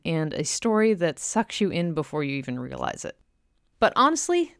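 A woman's voice: fairly low pitch, no echo, studio quality. A woman podcast host giving a semi-scripted introduction in an enthusiastic, emphatic manner.

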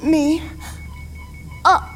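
A woman's voice: drawn-out words, faint music behind. An actress playing an injured, cybernetically enhanced female lieutenant who struggles weakly to speak one word at a time in an audio drama. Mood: strained, pleading.

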